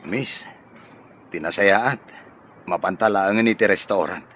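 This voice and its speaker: An actor playing an eager, flirtatious, easily smitten bachelor, hesitant and solicitous, with an Ilocano accent in radio drama style. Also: male voice, deep voice, a little slow, a bit bright. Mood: eager, courting. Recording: faint noise and ambience.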